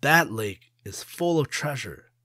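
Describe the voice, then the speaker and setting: A man's voice: mid-range voice, lively intonation, takes it slowly, pushed and bright, no echo, studio quality, voice pushed up, full character voice. A man voicing a sly, boastful young trickster in a storybook tale. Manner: wheedling, boastful.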